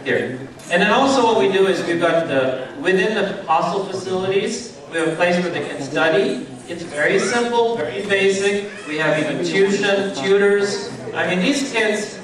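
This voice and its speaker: Middle-aged male speaker, chatty with the audience, distant PA recording in a very echoey hall. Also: fairly high pitch. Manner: conversational, checks in with audience, many fillers. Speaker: speaker at a charity event. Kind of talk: informal speech to an audience. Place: event hall.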